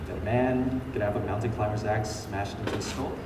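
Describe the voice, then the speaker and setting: A man's voice: fairly even intonation, a little fast, natural voice. An actor on stage playing an aggrieved, doomed male revolutionary leader with theatrical, declamatory delivery. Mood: wistful. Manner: declamatory, swinging between outrage and wistful musing. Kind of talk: theatrical comic stage acting. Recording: echoey hall from far off, noisy low-quality audio.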